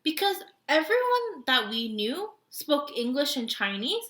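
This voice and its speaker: A woman's voice: sits high, sing-song, a little slow, a bit bright. Chatty Korean-born woman in an echoey room. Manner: chatty storytelling with frequent laughs and "like" fillers. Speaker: podcast co-host. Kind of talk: casual chat.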